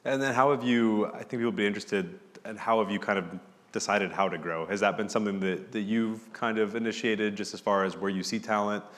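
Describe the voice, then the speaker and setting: A man moderating a talk, with a very deep voice that rings out with a long hall reverb. He builds his questions slowly and hesitantly, padding them with "kind of" and "like.". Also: steady tone, fairly bright tone. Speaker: moderator, magazine editor. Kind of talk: interview questions.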